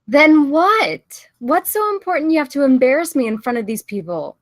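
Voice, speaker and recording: A woman's voice: high voice, lively intonation, moderate pace, no echo, clean studio sound. A woman playing a hurt, confrontational young woman in a drama table read. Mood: accusing.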